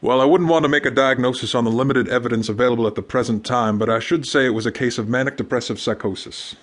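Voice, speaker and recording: A man plays a skeptical physician in a radio drama. He speaks fast at a medium pitch, in formal, pedantic and clinical language. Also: fairly even intonation, close to an everyday voice. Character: pedantic, skeptical male doctor.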